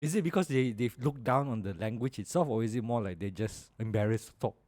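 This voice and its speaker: A man's voice: mid-range voice, quick pace, darkish tone, no room sound. Malaysian man, dry close podcast sound. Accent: Malaysian English. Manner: colloquial, sprinkles Malaysian particles. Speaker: podcast co-host. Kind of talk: casual chat.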